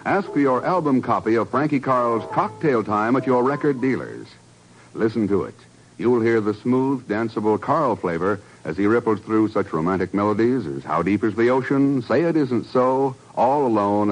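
An American man announcing in 1950s radio style, with formal, measured, emphatic phrasing. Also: medium pitch, animated, low-quality recording. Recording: vintage radio broadcast recording.

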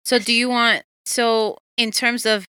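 A woman's voice: a little high, steady tone, measured pace, pushed and bright, clean tone, dry recording. A woman co-hosting a podcast in casual chat, steering the discussion as she talks. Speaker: podcast co-host.

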